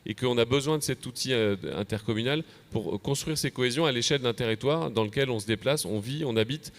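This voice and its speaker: A man with a French accent from France gives a semi-prepared conference presentation as an association official, halting and repeating words as he goes. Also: medium pitch. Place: convention plenary session.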